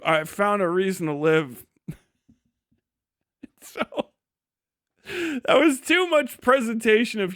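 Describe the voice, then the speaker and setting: A man's voice: sits high, a bit bright, dry recording, clean studio sound. A man doing casual, unscripted livestream commentary, telling stories in a crude, mocking, profanity-heavy way. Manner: laughs often while talking.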